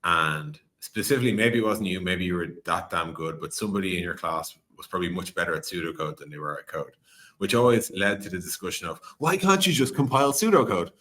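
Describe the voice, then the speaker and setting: A man's voice: deep voice, sharp tone. A man speaking as a podcast guest in a conversational tech interview, explaining animatedly with analogies and quoted examples.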